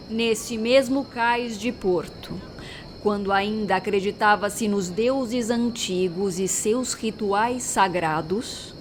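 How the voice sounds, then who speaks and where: A woman playing a very old street woman who tells eerie sea legends in a measured, ominous, dramatic audio-drama style. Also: medium pitch, slight background noise, natural voice.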